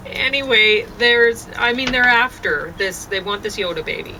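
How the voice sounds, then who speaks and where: A woman in casual, rambling conversation, halting often as she thinks aloud, recorded outdoors on a deck. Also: a little high, bright, forceful tone, low-quality recording. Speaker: vlogger sharing opinions on a show. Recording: outdoor deck, clear background noise, birds.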